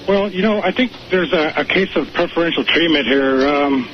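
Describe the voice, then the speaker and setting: A man calling in to a show, giving an opinion in a halting way with many restarts. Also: on the high side. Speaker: call-in show caller. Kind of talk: hesitant spoken opinion. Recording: dull noisy call-in broadcast clip.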